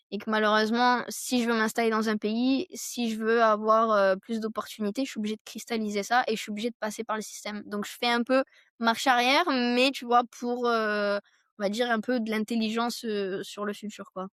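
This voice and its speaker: Young woman, higher-pitched, close dry sound. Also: flat delivery, fairly quick, a bit bright, dry recording. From France. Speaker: podcast guest, entrepreneur. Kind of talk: casual conversational interview answers.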